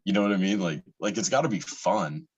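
A man with a very low, husky voice hosting a casual interview. He circles around his questions and pads them with "like" and "you know" as he goes. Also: animated, a little fast, darkish tone, highs cut off.

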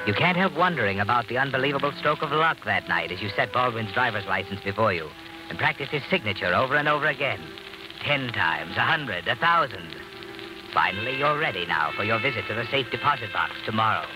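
An actor playing a sardonic, needling narrator who taunts like a guilty conscience in an old-time radio drama. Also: male voice, medium pitch, animated, brisk, natural voice, rough recording. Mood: sardonic, taunting. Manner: knowing, taunting rhetorical questions. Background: dramatic music.

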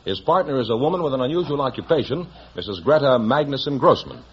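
A man's voice: mid-range voice, expressive, quick pace, husky. A man announcing a 1950s radio show in a grand, polished, formal manner. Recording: muffled old 1950s radio broadcast.

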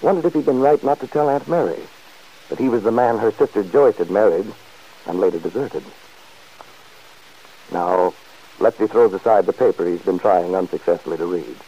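Husky low-voiced old radio narrator, a man. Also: mellow. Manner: smooth, measured storyteller's delivery. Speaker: announcer and narrator. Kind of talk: scripted narration. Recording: dull, muffled old radio recording.

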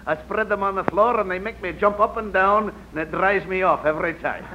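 A man's voice: sits high, fairly quick, close to an everyday voice. An actor playing a cheeky, thrifty Scotsman in a 1940s radio comedy. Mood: dry, cheeky. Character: cheeky thrifty Scotsman friend called laddie-caller. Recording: muffled hissy old radio recording.

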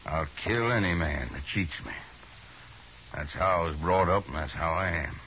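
A hissy, muffled old radio Western recording carries a man acting a stubborn young-to-the-trade rancher from Alabama. His voice is extremely low, and he talks bluntly and broodingly, with halting proud phrases. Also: natural voice. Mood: bitter, determined. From American.

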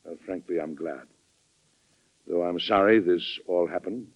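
An actor playing a dignified, fatherly president in a 1940s radio drama, speaking in a measured, earnest way. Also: deep voice, husky, no echo, heavily acted. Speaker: host narrator. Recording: dull vintage radio recording with music.

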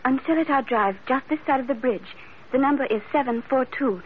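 A woman's voice: high-pitched, brisk, a little hiss or hum, natural voice. A woman acting an earnest, anxious young daughter in an old radio drama, speaking in careful, formal phrases. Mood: guarded. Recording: thin, tinny vintage radio recording.

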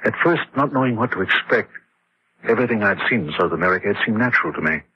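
A man's voice: on the low side, expressive, fast talker, slight background noise, close to an everyday voice. An actor playing a haunted, grieving, weary man who tells his story in an old-time radio horror drama. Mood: numb, haunted. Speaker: narrator and lead actor. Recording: dull, lo-fi old radio recording.

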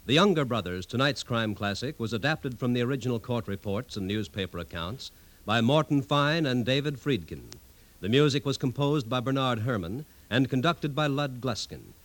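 American guy doing old 1950s radio announcer read, middle pitch, scripted. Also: fairly bright tone.

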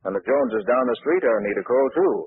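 A man's voice: mid-range voice, lively intonation, fast talker, breathy edge, narrow, tinny audio. A man working as a radio announcer, delivering a scripted, persuasive message in an old broadcast.

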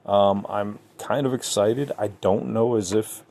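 A man hosting a solo podcast, talking casually and haltingly, speaking straight to his listeners. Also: lowish voice, steady tone, a little mellow. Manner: conversational, halting, addresses listeners directly. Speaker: podcast host.

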